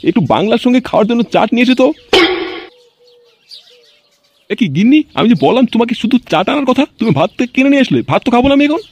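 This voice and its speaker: A man's voice: very high-pitched, lively intonation, very fast, a little mellow, husky, no room sound, lo-fi sound, heavily acted. A man voicing a crude, whiny, blustering liquor-loving husband in a comedy cartoon. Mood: cheerful, demanding. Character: boastful, crude husband who loves his liquor. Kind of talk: amateur comedy cartoon voice acting.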